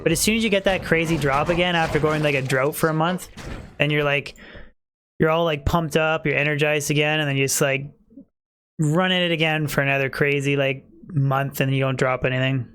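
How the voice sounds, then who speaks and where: A man's voice: fairly high pitch, fairly even intonation, fairly quick, fairly bright tone, clean tone. A man streaming a game live, chatting casually with his viewers while he plays; he says he is losing his voice. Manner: chatty, talks to chat viewers, many fillers.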